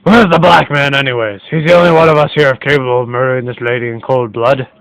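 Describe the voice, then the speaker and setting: A man's voice: medium pitch, fairly even intonation, fast, fairly bright tone, no room sound, lightly acted, rough recording. A man playing a rich host's superior, hot-tempered brother in a radio mystery. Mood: drunk, accusing. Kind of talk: radio mystery play acting.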